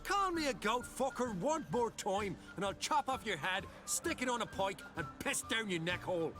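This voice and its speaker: A man's voice: high-pitched, very animated, normal speed, music in the background. A man playing a belligerent, jeering mad warlord in a fantasy game. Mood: threatening. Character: foul-mouthed, menacing, mocking madman warlord. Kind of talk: cinematic fantasy game acting.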